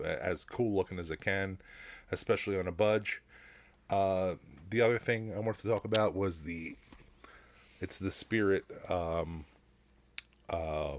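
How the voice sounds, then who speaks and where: A middle-aged man chatting casually about his hobby after a few beers, rambling and halting with mild swearing. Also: sits low, dry recording. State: has had a few beers. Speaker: hobbyist prop builder presenting a show-and-tell. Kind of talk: casual, unscripted hobby chat.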